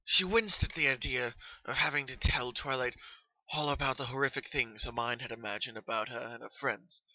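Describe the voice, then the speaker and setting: A man reading a story as narrator, in an expressive, earnest dramatic style. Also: mid-range voice, moderate pace, dry recording, low-quality recording.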